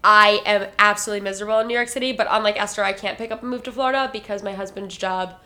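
A woman's voice: medium pitch, fairly even intonation, racing, pushed and bright. A woman co-hosting a podcast in casual chat, with a stuffy, nasal voice, talking freely and laughing often. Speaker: podcast co-host. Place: lives in New York City.

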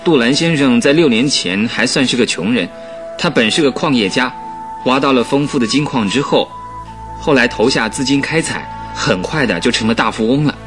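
An actor in a radio drama playing a vain young English aristocrat, affected and pompous but good-natured underneath. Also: male voice, mid-range voice, lively intonation, normal speed, over music, close to an everyday voice. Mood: earnest. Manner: affected, pompous, easily flustered. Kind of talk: Chinese radio drama acting.

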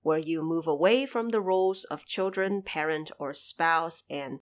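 A woman hosting a podcast, giving explanatory, lightly scripted commentary in a measured, didactic way with emphatic phrasing. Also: low voice. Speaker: podcast host explaining background of an audio drama series.

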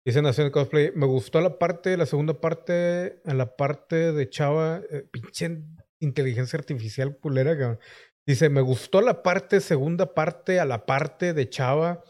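A man's voice: a little high, a little slow, a little mellow. Crude joking Mexican streamer man. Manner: crude, joking, rambling with constant slang. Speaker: streamer host. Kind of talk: casual live-stream banter.

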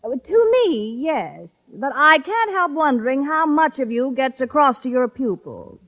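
Smug rival woman teacher, high syrupy voice, catty, flirtatious, vintage radio sitcom, muffled sound. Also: big pitch swings, unhurried, heavily acted. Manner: syrupy politeness with barbed digs. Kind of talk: 1950s radio sitcom acting. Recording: muffled old radio recording, studio audience.

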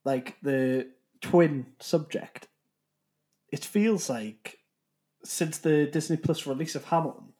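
Guy, voice a bit high, casual podcast chat, hesitant and repeats himself. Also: slow pace, warm tone. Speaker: podcast guest.

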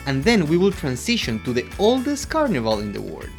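A man from Ecuador co-hosting a podcast, reading a scripted history segment in an expressive way. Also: a little fast, gravelly, faint music behind. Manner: animated, expressive delivery with wide pitch swings. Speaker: podcast co-host.

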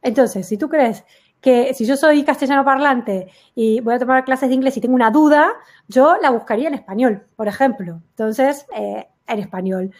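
A woman working as an SEO consultant, explaining emphatically in a casual conversation and reaching for quick everyday examples. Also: sits high.

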